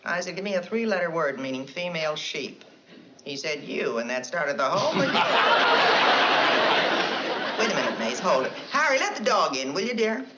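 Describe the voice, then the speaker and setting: A gossipy wife chattering on the phone, lively rising and falling voice, punchline patter, dull old TV sound, loud studio audience roaring. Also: female voice, deep voice, normal speed, a crowd in the background, noisy background. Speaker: comedienne doing a phone monologue. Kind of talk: 1960s sitcom acting.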